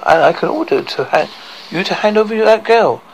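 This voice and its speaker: A man's voice: high-pitched, expressive, brisk, slight background noise, pitched above the performer's own voice, poor audio. Man playing a smug, pompous usurper king. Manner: pompous, dismissive. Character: smug, conniving male usurper king, falsely friendly.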